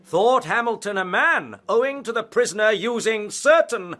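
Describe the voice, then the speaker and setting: An actor playing an indignant, pompous eighteenth-century prosecutor, declaiming scornfully in a radio courtroom drama. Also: male voice, high-pitched, animated, normal speed, a bit bright.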